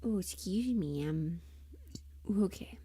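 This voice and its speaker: A woman livestreamer chatting casually and thinking aloud in an unhurried, musing way, often trailing into half-whispers. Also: on the low side, lively intonation, mellow, slightly rough, dry recording. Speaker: audio roleplay performer and livestream host.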